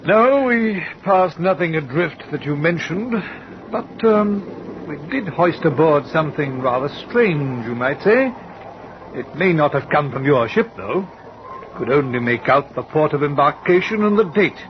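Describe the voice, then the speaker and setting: An actor playing a stern, commanding middle-aged sea captain in an old radio drama, with measured, probing questions. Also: male voice, a little high, animated. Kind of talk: 1940s radio acting. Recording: dull old radio recording with hiss.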